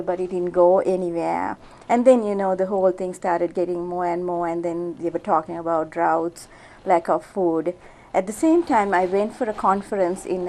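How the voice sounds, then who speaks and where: A woman's voice: medium pitch, warm tone. A middle-aged woman from Sri Lanka, a physician, talking through an interview in a casual way with many fillers and restarts. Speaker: physician guest.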